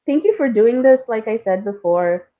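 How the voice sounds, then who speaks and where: A woman from India and Singapore chatting casually as an interview guest, telling personal stories in an animated way with frequent laughter. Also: fairly high pitch, normal speed. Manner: animated, laughs often while talking. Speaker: podcast guest, playwright and screenwriter. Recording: thin phone-like call audio.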